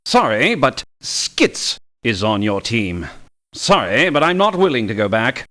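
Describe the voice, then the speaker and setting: A man's voice: medium pitch, very animated, measured pace, sharp tone. An actor playing a courteous but aloof male mercenary in a video game, speaking politely and formally with precise wording. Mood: politely refusing. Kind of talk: video game character voice lines.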